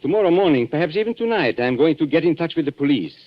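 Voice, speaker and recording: An actor playing a taunting shopkeeper blackmailer in an old radio thriller, sardonic and mockingly polite in a theatrical way. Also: male voice, fairly high pitch, lively intonation, racing, natural voice. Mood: mocking, menacing. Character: taunting shopkeeper blackmailer, a smooth-mannered villain. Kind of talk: 1940s radio thriller acting. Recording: dull, noisy old radio recording.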